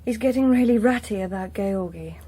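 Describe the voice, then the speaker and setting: An actress playing a defensive young daughter in a radio drama, with an English accent, a husky voice and a petulant manner. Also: female voice, mid-range voice, fairly quick, warm tone, lightly acted. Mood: indignant. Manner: petulant, protesting. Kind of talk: British radio drama acting.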